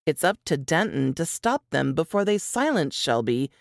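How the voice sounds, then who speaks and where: Low-voiced woman narrating dramatically. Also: big pitch swings, moderate pace, creaky, no echo, clean studio sound. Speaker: narrator of a book blurb.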